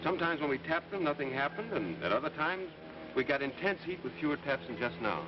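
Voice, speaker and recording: An actor playing a thoughtful, curious scientist in a 1950s radio drama, reasoning briskly aloud. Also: male voice, on the high side, very animated, noisy background. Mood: intrigued. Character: scientist named Carl, thoughtful, calmly curious man. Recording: muffled old radio broadcast with music.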